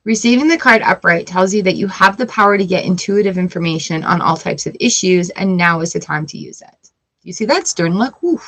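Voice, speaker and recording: A woman's voice: lowish voice, brisk, a bit bright. A woman doing a casual tarot reading, reading card texts aloud and breaking off into playful asides. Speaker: tarot reader.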